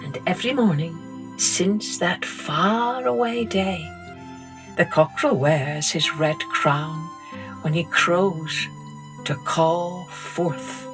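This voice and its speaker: A middle-aged woman, reading a children's story gently and expressively as a podcast host. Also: on the low side, music in the background. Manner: gentle, slow and expressive read-aloud.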